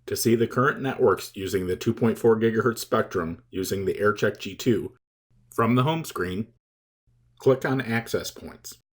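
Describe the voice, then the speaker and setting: A man reading scripted instructional narration as a tutorial presenter, explaining things in a measured, step-by-step way. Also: lowish voice.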